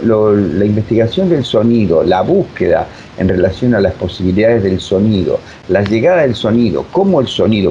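An Argentine man with a dark, mellow voice explains things in a halting, repetitive way. He sounds like an interview guest talking off the cuff. The audio is dull, with audible noise behind him. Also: fairly low pitch. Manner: halting, repeats words, filler-laden. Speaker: interview guest, radio drama director. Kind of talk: spontaneous explanatory interview talk.

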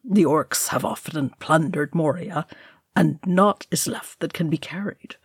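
Woman as a measured, knowing old wizard. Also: low-pitched, slightly rough, dry recording, clean studio sound, heavily acted. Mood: dismissive. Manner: diplomatic, explanatory.

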